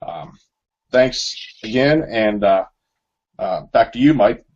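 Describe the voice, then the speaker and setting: A man's voice: lowish voice, slow pace, a little mellow. A man in his thirties introducing himself on a video call, hesitant and modest, with many fillers. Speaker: guest modeler. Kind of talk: casual self-introduction. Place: wood-paneled room at home. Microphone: webcam on a video call. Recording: muffled video-call audio.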